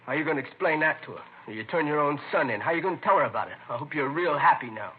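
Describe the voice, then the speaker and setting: An actor playing a surly, defiant young drug addict in a 1950s radio drama. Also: male voice, a little high, lively intonation, quick pace, a little hiss or hum, close to an everyday voice. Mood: bitter. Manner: sullen, insolent. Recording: tinny, band-limited vintage radio recording.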